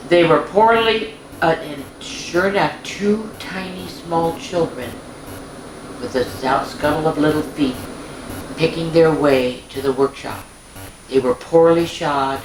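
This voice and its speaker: A woman's voice: low-pitched, background noise. A woman hosting a children's storytime, reading a picture book aloud and adding homely, chatty asides for the young listeners. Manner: homely, chatty, exclaims and points things out to children. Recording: echoey room with background music.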